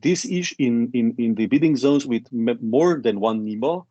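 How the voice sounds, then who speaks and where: A man's voice: mid-range voice, normal speed, warm tone, dry recording, dull audio. Man walking through details on a call. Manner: careful, step-by-step explaining with restarts. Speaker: meeting presenter. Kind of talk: conference call explanation. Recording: dry conference call audio.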